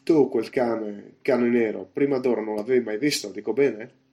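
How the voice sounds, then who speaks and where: A man voicing a bluff one-legged pirate innkeeper in a storybook, loud and emphatic, firing off orders and questions. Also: medium pitch, normal speed, lightly acted. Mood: angry, stern. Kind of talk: audiobook character voice.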